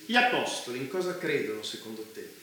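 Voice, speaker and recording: Cynical leader, heavily echoing room. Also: male voice, mid-range voice, sing-song, fast, pushed and bright. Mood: musing, provocative. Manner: provocative, philosophizing, evasive. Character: cynical, menacing male leader who unleashed a war. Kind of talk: stage drama dialogue. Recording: very echoey room, faint noise.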